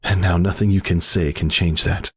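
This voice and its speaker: A man's voice: low voice, fairly even intonation, normal speed, slightly rough, pitched above the performer's own voice. A man voicing a weary but defiant pegasus mother, speaking earnestly in a dramatic story reading. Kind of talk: dramatic fan fiction reading.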